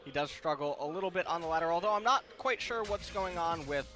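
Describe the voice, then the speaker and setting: A man's voice: a little high, fast talker. Analytical male esports caster with music behind. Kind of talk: analytical commentary with casual banter.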